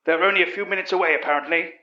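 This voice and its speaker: A man voicing a blunt, gruff British police inspector in a narrated horror story. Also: sits high, fairly even intonation, rapid-fire, pushed and bright. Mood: eager. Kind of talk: storytelling character voice. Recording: dull, roomy recording.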